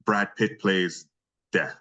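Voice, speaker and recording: A man's voice: deep voice, takes it slowly, bright, forceful tone. A man talking casually as the guest in an interview, a voice actor by trade. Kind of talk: casual chat.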